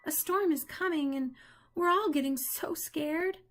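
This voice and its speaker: A woman voicing a small lost duckling, pleading and squeaky. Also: a little slow, faint music behind.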